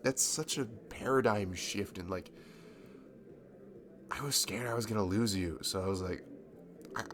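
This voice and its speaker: A man acting a nervous, smitten young man in an intimate ASMR roleplay, speaking in a halting, stammering, bashful way. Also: on the low side, a bit bright, a little hiss or hum. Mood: anxious, apologetic. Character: nervous, smitten young man confessing to his childhood best friend.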